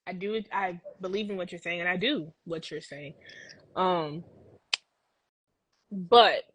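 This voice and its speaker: A woman's voice: fairly low pitch, takes it slowly, background noise. A woman guest on a talk show, speaking emphatically and repeating phrases to drive her point home. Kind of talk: casual discussion.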